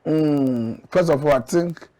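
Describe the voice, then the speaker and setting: A man with a Nigerian accent, arguing his points deliberately and emphatically as an interview guest analysing politics. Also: fairly high pitch, dark tone, low-quality recording. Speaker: studio guest, commentator.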